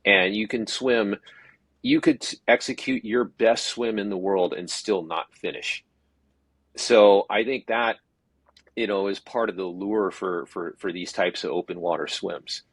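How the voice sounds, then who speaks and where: Husky low-voiced man, dry close sound. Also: a little slow, dry recording. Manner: thoughtful, rambling with frequent restarts. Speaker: podcast guest, open water swim organizer. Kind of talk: casual interview chat.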